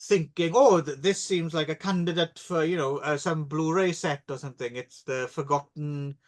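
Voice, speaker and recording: Male podcast co-host, high voice, sarcastic joker who fills every pause. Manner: jokey and sarcastic, with many fillers. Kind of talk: casual film podcast chat.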